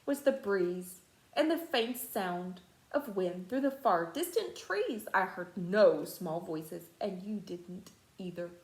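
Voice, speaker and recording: A woman's voice: medium pitch, expressive, slow, roomy sound, low-quality recording. A woman voicing a scornful, dismissive big kangaroo in a storybook.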